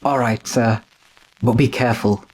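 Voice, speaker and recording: A man's voice: medium pitch, fairly even intonation, normal speed, slightly rough, natural voice, low-quality recording. A man playing a timid, complaining manservant in old-time radio drama, fretful and deferential toward his master. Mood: nervous.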